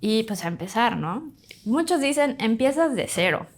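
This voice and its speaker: A woman's voice: a little high, normal speed. Chatty Bolivian-born woman doctor. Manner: chatty, animated, fond of "pues" and "literal". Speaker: doctor and podcast guest. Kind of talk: casual interview storytelling.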